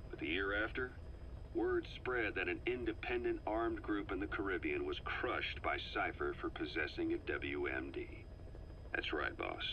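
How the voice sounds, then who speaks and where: A man playing a businesslike middle-aged military comrade in a video game, gruff and matter-of-fact, on a dull tape recording. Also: deep voice. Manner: matter-of-fact, conversational, terse. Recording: dull cassette-tape sound with hiss.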